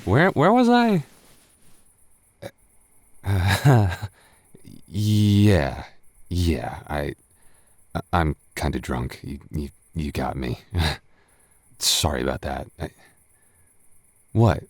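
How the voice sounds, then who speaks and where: A very low, unhurried young male voice acted by a man plays a tipsy roommate in an intimate roleplay audio. He stammers and rambles, teasing yet flustered and secretly smitten. The sound is close and dry. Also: sing-song, no echo, full character voice. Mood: tipsy, sheepish. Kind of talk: boyfriend roleplay audio acting.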